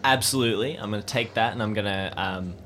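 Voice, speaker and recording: A man's voice: lowish voice, quick pace, fairly bright tone. A man chatting casually as a player in an improvised role-playing game, with a dry, wry manner. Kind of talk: casual tabletop role-play chat. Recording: faint background noise and ambience.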